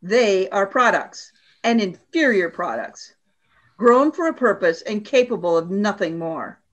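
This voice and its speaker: A woman's voice: medium pitch, animated, unhurried, dull audio. Woman playing an imperious alien warrior commander, cold and stern. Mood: cold, severe. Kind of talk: radio play acting.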